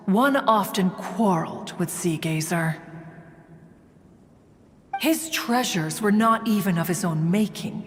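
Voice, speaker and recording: A woman's voice: medium pitch, lightly acted. Woman voicing a pompous crane spirit. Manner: pompous, stately, refers to herself as one. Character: proud haughty female crane spirit and inventor. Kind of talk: video game voice acting. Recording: long reverb with game music behind.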